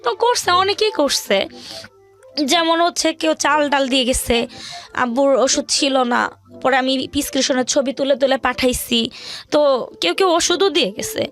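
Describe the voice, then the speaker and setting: A woman's voice: high-pitched, moderate pace, a bit bright, soft background music. A young woman with a Bangladeshi accent telling a personal hardship story to an interviewer, in a rambling, colloquial way with repeated phrases. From rural Bangladesh. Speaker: guest telling her life story.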